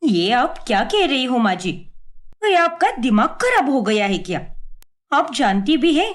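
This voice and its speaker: Lady doing a hot-tempered young bahu in a cartoon, really high voice, sharp-tongued, swings all over. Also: big pitch swings, measured pace. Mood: indignant. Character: defiant, hot-tempered working young daughter-in-law. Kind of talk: melodramatic cartoon voice acting.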